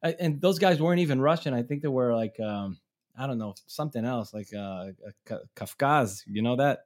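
A middle-aged man from Israel chats as a podcast guest. He tells long road stories in a loose, rambling way, restarting sentences and laughing along. His voice sits at an ordinary middle pitch. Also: a little fast, darkish tone. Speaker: touring musician, guest. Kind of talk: casual storytelling.

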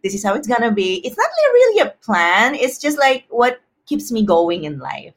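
A woman's voice: fairly high pitch, big pitch swings, normal speed. A woman talking casually and personally as a podcast guest, expressively acting out her own thoughts as quotes.

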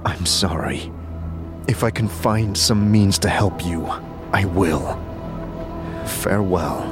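Guy acting a concerned wanderer asking questions, lowish voice, audio drama with music behind. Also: slow. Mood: sympathetic. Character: concerned, puzzled male wanderer questioning gently.

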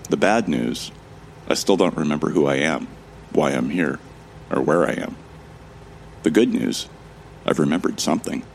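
A man playing a calm, wry, self-deprecating amnesiac survivor who narrates an audio drama in a gravelly, measured, introspective way. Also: extremely low pitch, a little hiss or hum. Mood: cautiously hopeful.

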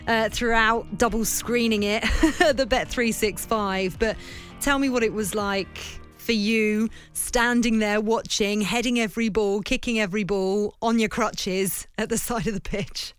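A woman's voice: mid-range voice, steady tone, unhurried, clear, resonant tone, dry recording, soft background music. A woman hosting a sports radio show, upbeat and chatty with easy laughter, speaking from a radio studio. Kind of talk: lively radio presenting.

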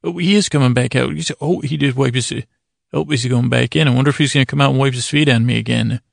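Really creaky gravelly guy rambling on a bedtime podcast, keeps cutting himself off, super dry close recording. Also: medium pitch, fairly even intonation, no room sound. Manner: meandering, halting, self-interrupting; self-deprecating. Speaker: podcast host.

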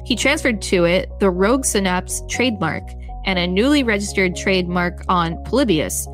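A woman reads scripted article text as a podcast co-host. Her voice sits at a middle pitch, with faint music playing underneath. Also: lively intonation, normal speed, a bit bright.

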